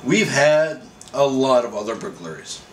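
A man's voice: medium pitch, very animated, unhurried. A man voicing a dry, stubborn husband in a comic story, wry and matter-of-fact. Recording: roomy echo, faint background noise.

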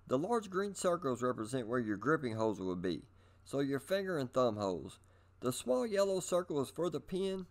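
A middle-aged man giving scripted instructional narration in an upbeat presenter manner, speaking straight to his viewers. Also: mid-range voice. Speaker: host of a bowling instruction video.

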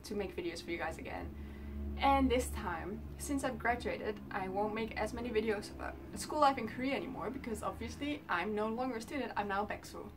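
Young Dutch-accented woman vlogging from her room, casual and rambling, mid-pitched voice, mic set back with light background hiss. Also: lively intonation, fairly quick, distant mic. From Netherlands. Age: 20s. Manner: chatty, lots of ums, talks with her hands. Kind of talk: casual talk to camera. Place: small bedroom indoors.

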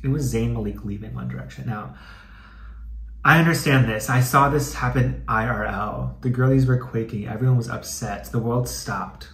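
A man's voice: medium pitch, fairly even intonation, moderate pace, gravelly, a bit bright. Campy young American man, echoey room. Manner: campy, slangy, playfully dramatic. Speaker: host of a comedic reading video. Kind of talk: casual chatty reading with commentary. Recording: echoey room with some background noise.

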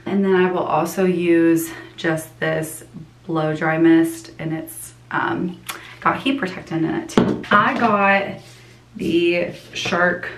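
Twenties girl vlogging at home, kinda low voice, says like a lot, camera sits nearby. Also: roomy sound, background noise. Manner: chatty, lots of "like" fillers. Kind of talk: casual vlog chat. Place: small apartment bathroom and car.

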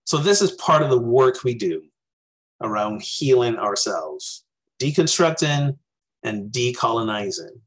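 Husky-voiced man in his forties, from Barbados. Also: mid-range voice, lively intonation, unhurried, poor audio. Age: 40s. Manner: earnest, reflective, gestures with his hands. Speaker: minister. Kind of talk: spoken talk to a congregation over video call. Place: small room indoors. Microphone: webcam on a video call.

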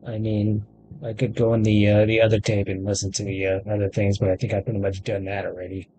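Low-voiced man commenting on a game. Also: completely flat delivery, mellow, lo-fi sound, a little hiss or hum. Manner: halting, rambling. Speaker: gaming video creator.

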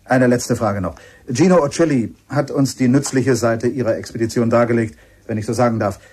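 An actor playing a courteous, inquisitive radio reporter interviewing on location in a German radio play. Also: male voice, mid-range voice, a little fast, a little mellow, breathy edge, close to an everyday voice. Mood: courteous, curious.

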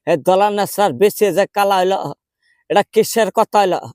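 A man acts out a quarrelsome old grandmother from a village, high in pitch and speaking rural Bangladeshi dialect. She complains and haggles stubbornly, as in a comic prank call, with a dry sound free of room echo. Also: fairly even intonation, a little fast, darkish tone, lightly acted. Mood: indignant, insistent. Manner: insistent, complaining, argumentative.

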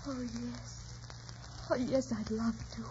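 A genteel, naive young lady with a high voice, polite and a little hesitant. It is old radio drama, muffled and clearly hissy. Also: level tone, takes it slowly. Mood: smitten.

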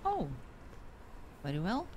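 Halting woman improvising in roleplay, medium pitch. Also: huge pitch swings, noisy background. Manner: halting, searching for words. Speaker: roleplayer in an online game. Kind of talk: improvised in-character conversation.

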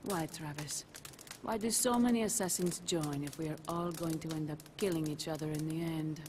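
Woman acting a low-voiced, grave female assassin. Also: moderate pace, a little mellow, close to an everyday voice. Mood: earnest, weary. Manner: grave, formal, earnest. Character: proud, weary, philosophical female assassin. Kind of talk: dramatic video game cutscene acting. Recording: game audio with clear background noise.

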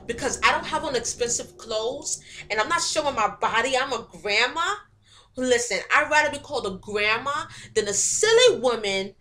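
A woman playing a picked-on but principled young woman who stands her ground in a monologue, speaking in a measured, pointed way. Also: high-pitched, expressive, pushed and bright, pitched above the performer's own voice. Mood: defiant.

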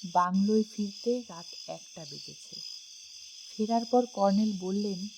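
A woman reading a scripted first-person suspense story with dramatic, expressive storytelling. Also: medium pitch, measured pace, noisy background. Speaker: audiobook narrator.

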